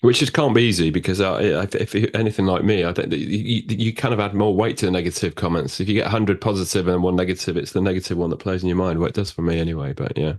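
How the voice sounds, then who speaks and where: A man co-hosting a podcast, speaking frankly and earnestly about his own feelings. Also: sits low. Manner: earnest and frank, fast-talking. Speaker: podcast co-host. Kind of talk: casual conversation.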